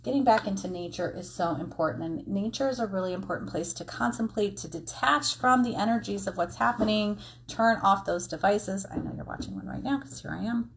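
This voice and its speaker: A woman's voice: mid-range voice, brisk, hardly any pauses, a little hiss or hum. Female oracle reader, mid-range voice, informal and explanatory, encouraging conversational delivery. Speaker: tarot and oracle card reader. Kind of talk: casual explanatory card reading to camera.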